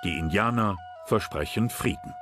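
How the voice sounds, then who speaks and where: A man's voice: on the low side, normal speed. A man reading scripted narration for a history documentary. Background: background music.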